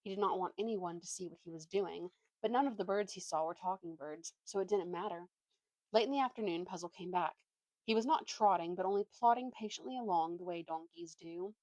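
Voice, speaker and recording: A woman reading a story aloud with expressive narration and an informal manner, now and then stumbling over a word. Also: on the low side, brisk, no room sound, rough recording. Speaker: storybook reader.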